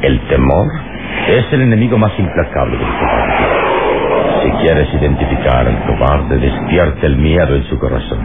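An actor playing a calm, heroic adventurer in an old radio serial, speaking in a solemn, measured way. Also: male voice, a bass voice, very noisy. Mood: confident. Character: calm, all-knowing heroic adventurer, deliberate and serene. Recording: tinny old radio recording with music behind.

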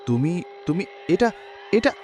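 A man's voice: fairly high pitch, big pitch swings, unhurried, a little mellow, a little hiss or hum. A man playing an overwrought, pleading young man haunted by his dead lover in a melodramatic horror cartoon. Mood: frightened.

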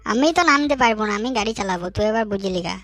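A bossy young village man leads his friends in a comic cartoon, acted out by a man. His voice is very high and teasing, with a rural West Bengal accent. It is dry and close, with faint music behind. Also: animated, very fast, fairly bright tone, no room sound.

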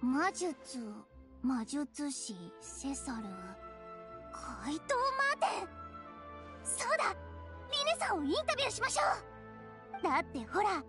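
An excitable young lady reporter for an anime game, high and swoopy, dramatic and nosy. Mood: eager. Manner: animated, theatrical, inquisitive. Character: eager, dramatic young female news reporter. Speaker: character voice actor.

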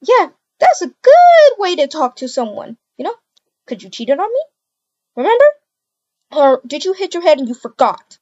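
Woman playing a high-pitched, exasperated wronged young mother. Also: very animated, normal speed, darkish tone, lo-fi sound. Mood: angry, hurt. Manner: sarcastic, confrontational.

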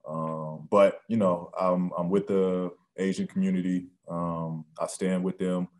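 A man in his thirties answering postgame questions at a press conference, soft-spoken and halting with many ums and restarts, on a video call. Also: low-pitched, little pitch movement. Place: press room with sponsor backdrop.

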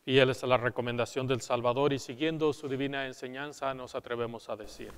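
A man, a priest, solemnly reciting liturgical prayers at Mass in a measured way, in a large church. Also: medium pitch, steady tone, smooth. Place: large church interior, nearly empty. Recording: reverberant church hall.

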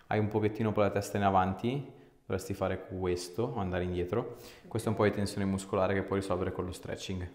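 Man, 30s, chiropractor; deepish voice, brief informal instructions, very reverberant treatment room. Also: steady tone, fairly quick, a little mellow. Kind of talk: giving instructions and casual explanations to a patient. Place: small indoor treatment room. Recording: very echoey small room.

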